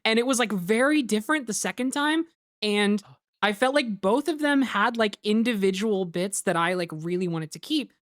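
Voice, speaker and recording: A woman giving casual group commentary as host, talking in a halting, rambling way and swearing freely. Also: mid-range voice, lively intonation, no room sound, clean studio sound. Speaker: host and director of a fan dub, giving cast commentary. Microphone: close mic on an online voice call.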